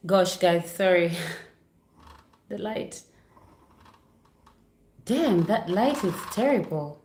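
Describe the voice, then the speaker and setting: A woman's voice: medium pitch, expressive, extremely slow, darkish tone, echoey room, slight background noise. A woman from Uganda chatting casually on a livestream from her living room, apologetic and easily distracted. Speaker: vlogger hosting a livestream. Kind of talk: casual chat to a live audience. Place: home living room near a Christmas tree. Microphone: computer setup for a livestream.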